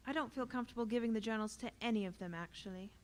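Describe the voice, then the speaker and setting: A woman's voice: a little high, fairly quick, natural voice. An actress playing an uneasy, sympathetic woman in an audio theater drama. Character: uneasy, sympathetic woman who found secret journals.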